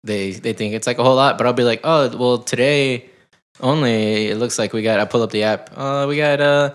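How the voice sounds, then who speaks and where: A man's voice: mid-range voice, fairly quick. A young man hosting a podcast, rambling playfully through anecdotes in casual chat. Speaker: podcast host.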